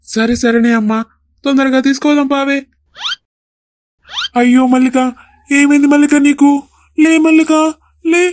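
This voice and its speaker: A woman's voice: sits high, steady tone, moderate pace, pauses often, darkish tone, a little hiss or hum, pitched below the performer's own voice, natural voice. A woman voicing an earnest, anxious young adult son in a cartoon, with a Telangana Telugu accent. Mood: worried.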